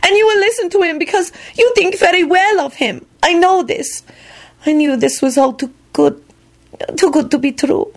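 A coy young foreign woman in love, as a female narrator puts her on. Her voice is high and very expressive, with sweet, emotional delivery and careful, slightly formal phrasing. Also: a little slow, husky, exaggerated voice. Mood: unhappy, wailing. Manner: careful, non-idiomatic phrasing without contractions.

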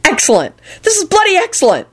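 A woman's voice: medium pitch, very animated, normal speed, breathy edge. A woman voicing an irritable, sarcastic, anxious male wizard who snaps and mutters in a story reading. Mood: sarcastic dread. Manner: snappish, sarcastic, hissing mutter.

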